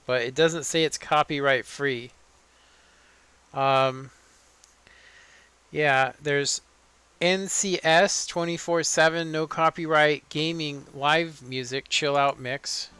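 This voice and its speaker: Guy in his 30s on a live stream, monotone, rambly, kinda high and bright. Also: clear, resonant tone, no echo. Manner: relaxed, rambling, many fillers. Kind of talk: casual live-stream chat while playing. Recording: faint background music.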